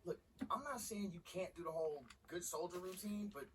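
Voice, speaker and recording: Actor as pushy, fast-talking male sports agent, noisy. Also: sits high, lightly acted. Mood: scheming, insistent. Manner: slangy, emphatic persuading. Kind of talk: video game cutscene dialogue. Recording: noisy, distant game capture.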